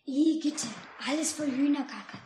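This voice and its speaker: A female performer playing a bossy, tattling, sharp-tongued little girl in a 1950s radio play. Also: high-pitched, measured pace. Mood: scolding. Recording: echoey, noisy mono 1950s radio recording.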